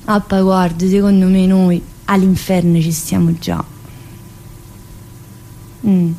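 A woman's voice: medium pitch, a little mellow. An actress playing a talkative, troubled woman locked in a psychiatric prison, in a radio theatre monologue, with a Neapolitan accent and a colloquial, confiding, rambling manner. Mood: confiding, resigned. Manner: colloquial, confiding, rambling, with abrupt pauses and interjections.

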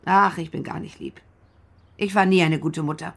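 An actress playing a frail, stubborn, complaining old mother in a German radio drama, with a husky voice. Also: on the low side, fairly even intonation, normal speed, fairly bright tone. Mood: stubborn, softer. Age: older.

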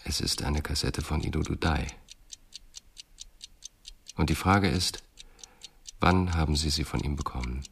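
A man playing an intense, quietly insistent police investigator with deliberate, measured speech in a radio crime drama. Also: very deep voice, husky, heavily acted. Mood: cool, insistent.

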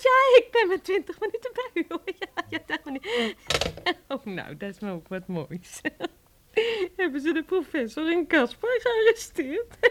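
An actress playing an excitable, scatterbrained housekeeper in a comic audio drama, flustered, exclaiming and stammering. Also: female voice, sits high, exaggerated voice. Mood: amused. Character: excitable, scatterbrained housekeeper, quick to laugh and defend herself.

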